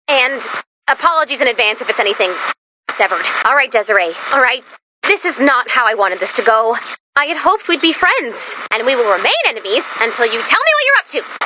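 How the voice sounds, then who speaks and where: A woman voicing a perky, anxious, chatty young female robot helper in a video game, girlish and excitable, rambling theatrically. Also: high voice, noisy background. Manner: excitable, rambling, theatrical, swinging into hushed asides. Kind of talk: animated video game character voice lines.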